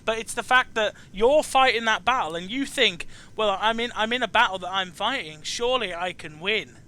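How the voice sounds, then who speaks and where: A man's voice: high-pitched, normal speed, bright, forceful tone, smooth. A man hosting a casual chat about video games, speaking hesitantly and often repeating and restarting his phrases. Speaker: chat show host interviewing a guest.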